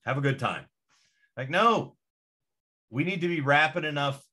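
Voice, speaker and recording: A man's voice: on the high side, animated, normal speed, bright, forceful tone, husky. A man who grew up in Indonesia with American parents, talking as a podcast guest in casual chat, emphatic and profane, ranting with force.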